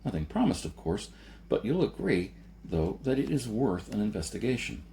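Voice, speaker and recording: A man's voice: fairly low pitch, big pitch swings, moderate pace, vocal fry, warm tone, a little hiss or hum. A man voicing a persuasive male adventurer in a story, arguing and lecturing with insistent emphasis.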